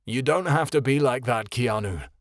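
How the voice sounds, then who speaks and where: A man's voice: mid-range voice, moderate pace, no room sound, studio quality, close to an everyday voice. A man voicing a middle-aged father who speaks sternly and sounds hurt. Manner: harsh then pleading.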